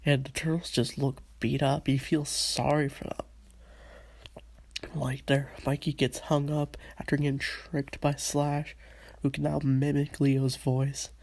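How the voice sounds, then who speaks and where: A man's voice: medium pitch, flat delivery, measured pace, gravelly, a little mellow, a little hiss or hum. A man with a stuffy, under-the-weather voice, eagerly recapping a cartoon episode in a casual solo review.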